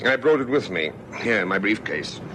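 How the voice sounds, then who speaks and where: An actor playing a dogged, sceptical police lieutenant with a South African accent and a brisk, dry, questioning manner in a vintage radio drama. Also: male voice, fairly low pitch, animated, heavy background noise, lightly acted. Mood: thoughtful.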